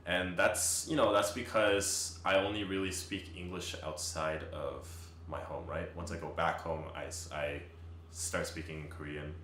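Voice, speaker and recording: A man's voice: low voice, a little slow, roomy sound, slight background noise. A young man who is a college student, answering interview questions casually with lots of "like" and easy laughter. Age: young adult. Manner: animated, filler-heavy, laughing easily.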